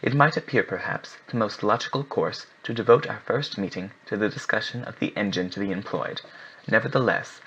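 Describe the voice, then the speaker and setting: Man reading a formal older club president, lowish voice, muffled recording. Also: a bit bright, husky. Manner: measured, formal speech. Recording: muffled with faint hiss.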